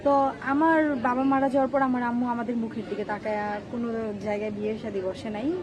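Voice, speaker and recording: A woman's voice: fairly high pitch, steady tone, brisk, crowd noise. A twenty-year-old woman with a Bangladeshi accent, answering casual interview questions about her personal life. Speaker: interview guest.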